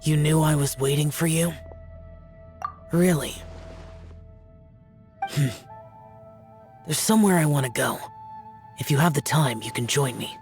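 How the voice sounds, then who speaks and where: Solemn, brooding immortal young warrior. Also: male voice, fairly high pitch. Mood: reserved. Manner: grave, reserved, measured. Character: ancient youthful male warrior, solemn, brooding, guilt-worn. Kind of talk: video game voice acting. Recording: music under the voice.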